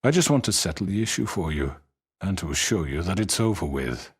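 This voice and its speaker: Suave, very deep-voiced crime boss. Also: male voice, sing-song, exaggerated voice. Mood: soothing, condescending. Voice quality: husky. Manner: unctuous, formal, measured politeness. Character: suave, menacing male crime boss, falsely courteous. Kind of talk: dramatic video game acting.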